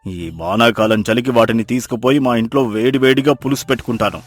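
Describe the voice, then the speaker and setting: A man's voice: medium pitch, quick pace, faint music behind, natural voice. A man playing an arrogant, cruel village moneylender in a moral story, speaking in a sneering, threatening way. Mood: callous, bullying. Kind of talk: dramatised moral story acting.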